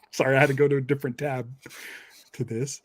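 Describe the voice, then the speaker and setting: Man, average pitch, podcast co-host, casual conversational delivery, dry humor. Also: level tone, a little fast, husky. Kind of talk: casual chat.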